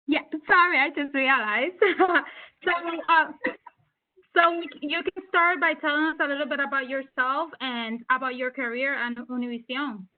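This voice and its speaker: A woman interviewing a guest over a video call, friendly and quick to laugh. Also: high voice, steady tone. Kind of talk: virtual interview. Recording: phone-like call audio.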